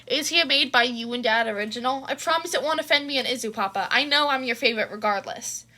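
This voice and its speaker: A cheeky young daughter, played by a woman in an audio story. The voice is bright and slightly high, lazily self-assured and unbothered. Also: fast. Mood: nonchalant, cheeky. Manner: lazy, nonchalant. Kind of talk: fan fiction audio reading.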